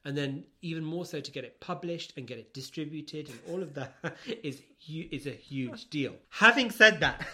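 Guy with a highish voice chatting about books on a podcast, mock exasperated, sweary. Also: sharp tone. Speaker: podcast co-host. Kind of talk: casual book review chat.

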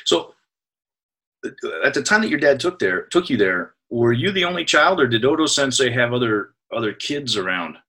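A man's voice: mid-range voice, sing-song, moderate pace, pushed and bright. A man interviewing a guest, asking friendly, rambling questions full of fillers and restarts. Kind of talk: conversational interview.

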